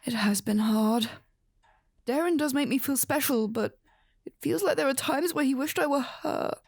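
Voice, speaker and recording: A woman's voice: high-pitched, normal speed, creaky, darkish tone, no echo, clean studio sound. A woman playing a strained, apologetic but firm stepmother in a horror radio drama. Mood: wistful.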